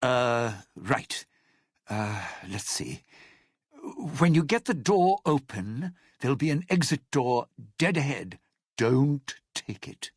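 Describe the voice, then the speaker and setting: An actor playing a scheming, bitter old lounge singer in a video game, with a gravelly, raspy voice and a theatrical, sardonic delivery. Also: male voice, mid-range voice, big pitch swings, slow, no echo, studio quality, full character voice. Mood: urgent, wary. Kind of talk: video game character acting.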